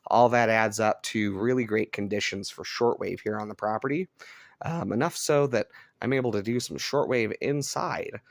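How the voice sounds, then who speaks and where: A man's voice: on the low side, moderate pace, gravelly, no echo. An American man from Colorado giving casual, laid-back vlog commentary as a radio hobbyist, recorded on a cell phone. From Colorado, United States. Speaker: shortwave radio hobbyist and video host. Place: indoors at a home on a rural property.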